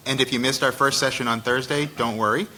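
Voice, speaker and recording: Bright-voiced male podcast co-host. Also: mid-range voice, steady tone, fast talker, low-quality recording. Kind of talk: live interview and wrap-up. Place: conference room before a live audience.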